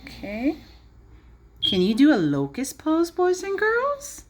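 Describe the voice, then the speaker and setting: Female early-years teacher, interactive storytime read-aloud, very lively intonation, unhurried pace, clearly pitched at little kids. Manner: expressive, child-directed, often questioning and explaining. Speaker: preschool or early primary teacher.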